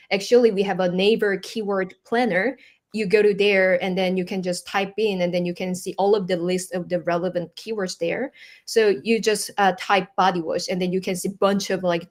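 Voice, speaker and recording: A woman from South Korea with a Korean accent, a native Korean speaker, answering as an expert guest in a conversational interview and explaining with examples in searching English. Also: mid-range voice.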